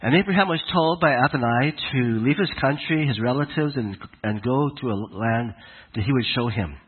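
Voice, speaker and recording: A man with a cold and a raspy voice, giving a conversational, halting sermon to his congregation. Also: medium pitch, phone-quality sound. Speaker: congregation leader. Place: congregation hall in Alberta.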